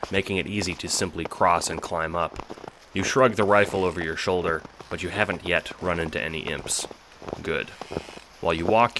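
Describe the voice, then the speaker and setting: A man narrates an audio drama from a script, addressing the listener in the second person. His voice is very low in pitch. There is clear noise in the home recording. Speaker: narrator and producer.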